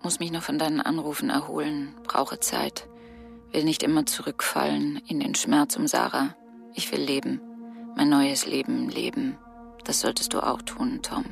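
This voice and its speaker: A wounded, weary woman with a heavily creaky, low voice. Her radio drama monologue is flat, terse and subdued. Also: normal speed, soft background music. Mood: hurt, resigned.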